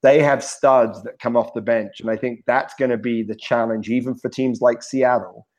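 A man's voice: mid-range voice, steady tone, moderate pace, darkish tone, highs cut off. A man giving studio rugby analysis, hedging with lots of "you know" and "I mean". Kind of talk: studio commentary.

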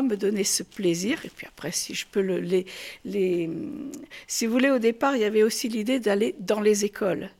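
A woman's voice: medium pitch, darkish tone. A woman from France explaining her craft as an interview guest, hesitating and repeating words as she goes. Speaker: puppet maker and artist, interview guest. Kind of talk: casual interview.

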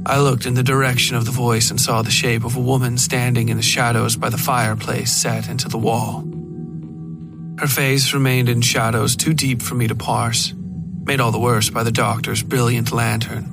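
A man's voice: medium pitch, level tone, moderate pace, vocal fry. A man reading scripted first-person horror fiction as a podcast narrator, close to the microphone. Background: faint music.

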